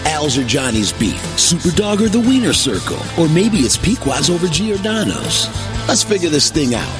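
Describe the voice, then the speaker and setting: A man's voice: fairly high pitch, very animated, normal speed. A man reading a scripted show intro in a hyped announcer delivery. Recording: voice over music bed.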